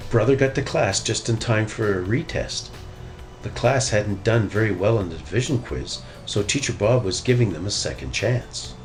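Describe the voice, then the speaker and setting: A man narrates a children's story in a fairly low voice. His intonation is lively and varied, and light music is present. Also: normal speed. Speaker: storybook reader.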